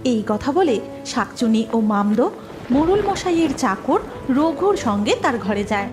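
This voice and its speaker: A woman's voice: fairly high pitch, sing-song, unhurried, over music. A woman narrating a scripted cartoon story.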